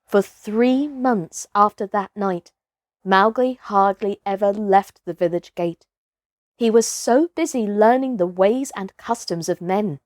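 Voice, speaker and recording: A woman narrating a storybook for children, with expressive, careful and deliberate scripted delivery. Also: medium pitch, no echo, clean studio sound.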